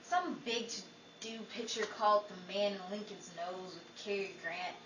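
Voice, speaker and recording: A woman playing a weary, sharp-tongued working wife in an old-time radio comedy, with dry, nagging sarcasm. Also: mid-range voice, unhurried, far from the mic, natural voice. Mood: weary, dramatic. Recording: echoey, noisy room, off-mic.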